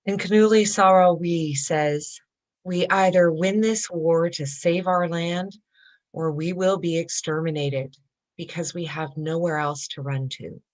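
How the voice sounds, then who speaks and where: A woman's voice: on the low side, steady tone, measured pace, darkish tone. A woman speaker at a worship service, reading quotations in a solemn, declamatory manner.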